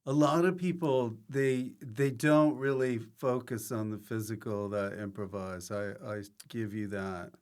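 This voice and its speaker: A man's voice: fairly low pitch, fairly even intonation, measured pace, few pauses, darkish tone, smooth. A man hosting a casual interview podcast, droll and given to playful asides. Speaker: podcast host and improviser.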